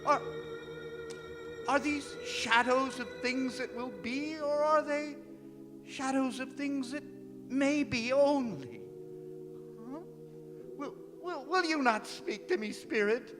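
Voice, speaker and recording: Very high-pitched, emotional old miser. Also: male voice. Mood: fearful, dreading. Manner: theatrical, halting, pleading delivery. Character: repentant old miser, anguished, pleading, wildly emotional. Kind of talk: stage radio drama acting. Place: performing arts center stage. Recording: reverberant theatre hall, music underneath.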